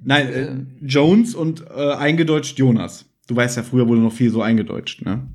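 A man's voice: mid-range voice, steady tone, moderate pace, husky. A man hosting a casual chat about audio dramas, explaining things with some teasing banter. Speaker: podcast host.

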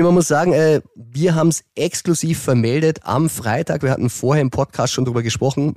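A man with a mellow, warm voice hosts a casual sports podcast. He sounds wry and teasing and likes a pointed question. The recording is close and dry. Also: mid-range voice, no room sound. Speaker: podcast host.

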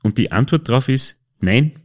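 A man's voice: mid-range voice, lively intonation, unhurried, noisy background. An Austrian man hosting a podcast, chatting casually and telling anecdotes in a hesitant, colloquial way, often correcting himself. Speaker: podcast host.

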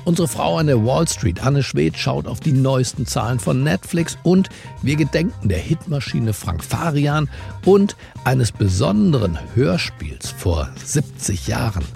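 A man hosting a news briefing, presenting scripted items and questions in a pointed, deliberate way with dramatic pauses. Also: medium pitch, big pitch swings, dark tone, faint music behind. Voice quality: gravelly, husky. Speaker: host of a news briefing podcast. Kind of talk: scripted news narration and interview.

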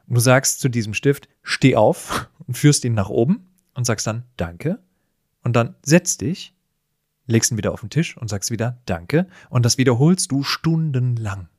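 A man's voice: medium pitch, a little mellow, no room sound, clean studio sound. A man in a conversational podcast discussion, explaining things with emphatic phrasing. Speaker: podcast co-host.

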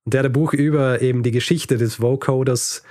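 A man with a medium-pitched voice hosts a podcast, telling his story casually. He pauses to find words and drops in light chuckling asides. The sound is close and dry. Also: fairly even intonation, a little mellow, no echo. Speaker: history podcast host telling the episode's story.

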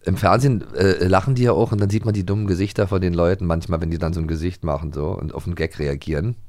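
A man's voice: deep voice, fairly even intonation, fast talker, a little mellow, no room sound. A middle-aged man co-hosting a podcast in casual chat, rephrasing as he thinks aloud. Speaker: podcast co-host.

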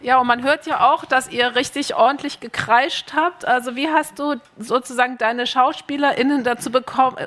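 A woman's voice: high-pitched, steady tone, normal speed, few pauses, a bit bright. A woman moderating a festival panel, asking guests about their work. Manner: questioning, friendly, some fillers. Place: stage at a festival.

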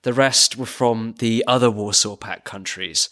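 A man's voice: lowish voice, unhurried, bright, forceful tone. A man co-hosting a history podcast, reading scripted narration with dry, wry humor. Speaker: podcast co-host.

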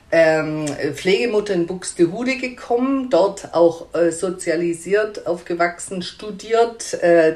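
A woman's voice: fairly low pitch, a little mellow, reverberant. A woman publisher answering interview questions in a hesitant, conversational way with frequent fillers and restarts.

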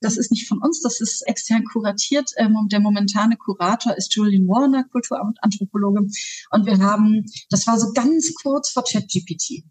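A woman from Germany who heads a theater's digital department, answering interview questions eagerly and fast, with many fillers. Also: medium pitch, a little mellow, slightly rough, rough recording. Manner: enthusiastic, quick, many fillers. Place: works in Augsburg.

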